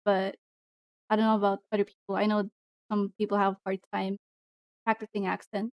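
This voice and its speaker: A young Filipino woman on a stream, talking casually in slow, careful English. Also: medium pitch, flat delivery, darkish tone, no echo. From Philippines. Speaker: streamer guest. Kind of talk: casual collab stream chat.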